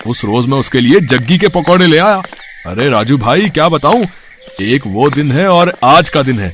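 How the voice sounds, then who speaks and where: A man's voice: a little high, a bit bright, slight background noise. A man voicing a keen, chatty buddy in a cartoon moral story. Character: chatty male fritter-loving friend, keen and puzzled.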